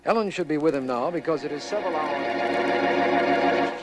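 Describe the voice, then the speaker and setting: A man's voice: a little high, a little slow, close to an everyday voice. A man playing a formal, serious district attorney who narrates an old radio mystery. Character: sober, official male district attorney narrating the case. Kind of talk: mid-century radio drama narration. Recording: old radio recording, faint noise.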